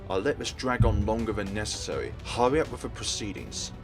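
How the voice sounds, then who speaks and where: A stern, taciturn man, voiced by a male player, speaking low and clipped as a cold visual novel character. Music sits behind his voice. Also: a little fast, lightly acted. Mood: impatient. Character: stolid, curt, taciturn man in a death game.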